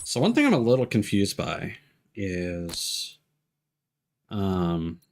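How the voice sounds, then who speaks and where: A man giving casual live gameplay commentary as a streamer, low-key and thinking aloud as he plays. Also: huge pitch swings, slow. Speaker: video game streamer. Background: game music.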